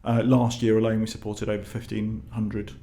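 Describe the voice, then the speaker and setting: Gravelly low-voiced man, fairly even delivery. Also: moderate pace. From born in Lebanon, grew up in the UK. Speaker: charity chief executive, interview guest. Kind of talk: conversational interview answers.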